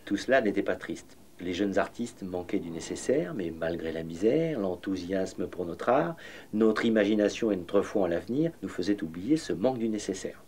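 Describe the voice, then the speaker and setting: A man voicing a reminiscing painter in measured, literary first-person documentary narration. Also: low-pitched, animated, darkish tone, slight background noise. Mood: reflective, nostalgic. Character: reminiscing male painter of bohemian Paris. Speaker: voice-over reading the painter's memoirs in a documentary.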